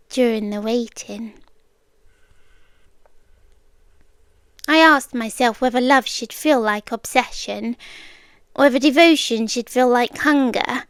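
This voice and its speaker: A British woman acting in an ASMR roleplay as an obsessive, calmly possessive girlfriend, speaking intimately and often slipping into whispers. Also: a little high, smooth, dry recording. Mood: brooding, obsessive. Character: obsessive, soft-spoken yandere girlfriend, calmly possessive young woman captor.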